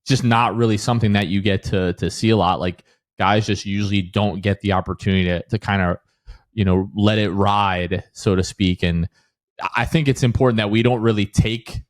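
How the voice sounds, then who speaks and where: Lowish voiced dude hosting a sports pod, super casual, says like a lot, no room echo. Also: male voice, steady tone, moderate pace, clean studio sound. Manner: informal, peppers talk with "like". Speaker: podcast host.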